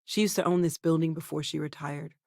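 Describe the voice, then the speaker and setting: An earnest, caring scientist in a sci-fi game. Her voice sits a little low for a woman and is recorded very dry. She talks in a natural, thoughtful, expressive way. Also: brisk, vocal fry, dry recording. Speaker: video game voice actress. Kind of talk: naturalistic video game dialogue acting.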